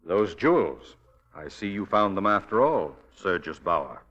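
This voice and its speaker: An actor playing a composed, courteous, sardonic detective in a 1940s radio drama, dry and measured. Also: male voice, deep voice, very animated, a bit bright, exaggerated voice. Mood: grimly satisfied. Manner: dry, measured, politely mocking. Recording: muffled old 1940s radio broadcast.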